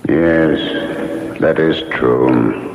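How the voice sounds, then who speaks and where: An actor playing the ghost of a man, calm, stern and commanding, with measured delivery and a spectral reverb, in old radio drama style. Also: a bass voice, slight background noise, heavily acted. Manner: slow, measured, deliberate. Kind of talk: vintage radio drama acting. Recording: muffled old radio, long echoing reverb.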